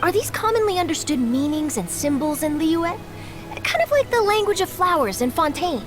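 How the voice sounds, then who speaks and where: An upbeat young female reporter, full of questions and enthusiasm. Her voice is very high and lively in its swings. Game music sits behind her. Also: normal speed. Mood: eager. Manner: enthusiastic, inquisitive.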